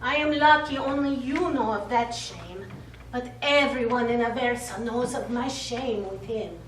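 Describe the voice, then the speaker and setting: A woman's voice: on the high side, measured pace, close to an everyday voice. An actress playing a proud, wounded middle-aged Italian woman in a stage play, accusing and scornful in terse phrases. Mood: ashamed, bitter. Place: small theatre stage, recorded from afar. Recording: echoey stage, distant mic, faint noise.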